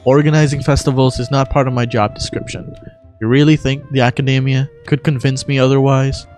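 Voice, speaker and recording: A cool, superior young scholar from a fantasy game, played by a man. His voice is lowered and measured, with a deadpan dryness and an aloof, analytical temper. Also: soft background music, lightly acted. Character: calm, aloof, dry-witted young male scholar.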